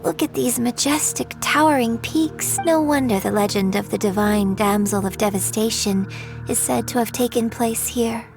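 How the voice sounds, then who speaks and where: A poised young opera singer, with a slightly high, clear voice, lays out an old legend in measured, storytelling tones. Faint game music plays softly underneath. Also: female voice. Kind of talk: video game voice acting.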